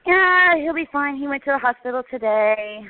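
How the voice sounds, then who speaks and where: A woman's voice: high voice, animated, moderate pace, no echo. Playful woman on a tinny call. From Cincinnati, Ohio. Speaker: guest on a fan podcast. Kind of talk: casual chat over a call. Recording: tinny phone-like line.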